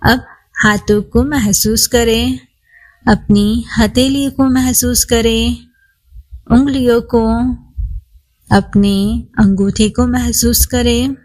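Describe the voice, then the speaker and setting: A woman guides a meditation at a very slow pace. She sounds calm and soothing, leaving long, unhurried pauses as she instructs the listener to relax. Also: a little high, poor audio. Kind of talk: guided body scan meditation.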